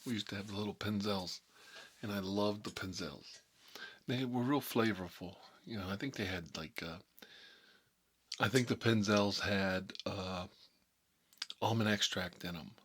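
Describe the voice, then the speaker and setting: A man's voice: on the low side, unhurried, long pauses, fairly bright tone, husky. A man playing a hot-headed, sentimental mob boss in an improvised comic monologue, talking in a rambling, repetitive, colloquial way. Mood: nostalgic rambling.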